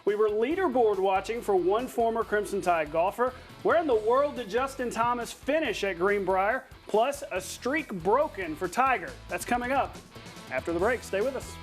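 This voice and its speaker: A man anchoring a television sportscast in a playful, conversational way, reading highlights and bantering with a co-host. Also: animated, moderate pace, faint music behind. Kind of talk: upbeat scripted sportscast narration with banter.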